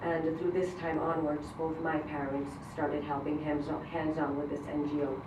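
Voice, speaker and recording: Low-voiced woman speaking in an echoey room. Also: little pitch movement, moderate pace. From Colombia. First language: Spanish. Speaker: grant recipient giving a speech. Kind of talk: prepared personal speech. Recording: roomy, distant mic, noisy event hall.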